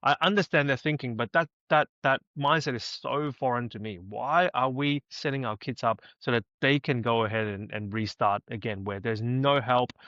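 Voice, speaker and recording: A man's voice: mid-range voice, normal speed, hardly any pauses, no room sound. A man from Hong Kong co-hosting a podcast, speaking emphatically and often checking in with "right?". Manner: animated, emphatic, lots of "right?". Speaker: podcast co-host. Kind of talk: casual podcast conversation. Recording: slightly dull audio.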